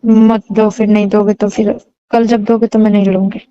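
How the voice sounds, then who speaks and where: A woman's voice: mid-range voice, little pitch movement, moderate pace, mellow, clean tone. A young woman chatting casually and intimately on a phone call, coy, often answering with short hums. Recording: dull lo-fi phone call recording, roomy.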